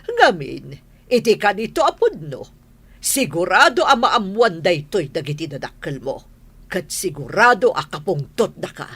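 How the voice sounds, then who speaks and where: Give the part of a proud, domineering mother to a husky Filipino-accented voice. Her pitch moves widely as she scolds and lays down the law. It is stern, melodramatic radio drama. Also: very animated, unhurried, a bit bright, exaggerated voice. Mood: stern, warning. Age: middle-aged. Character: stern, class-proud mother, madam of the house.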